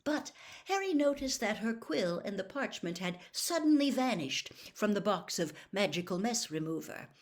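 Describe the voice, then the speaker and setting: A woman narrating a children's fantasy novel in an expressive read-aloud style. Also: mid-range voice, normal speed. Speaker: audiobook narrator.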